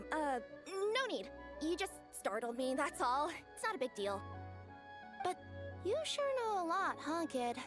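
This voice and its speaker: A friendly cat-spirit delivery girl, with a very high, lively voice. She sounds easygoing and chatty over light game music. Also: unhurried. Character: cheerful cat-spirit woman courier. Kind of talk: game voice acting.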